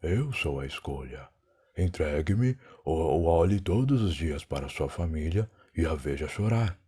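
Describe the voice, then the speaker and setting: Proud, weary time traveler played by a man, Brazilian accent, low husky voice, solemn dramatic narration for an audio drama. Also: animated, unhurried, mellow, full character voice. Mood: grave, challenging. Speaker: narrator and lead character. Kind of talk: dramatic first-person scripted narration.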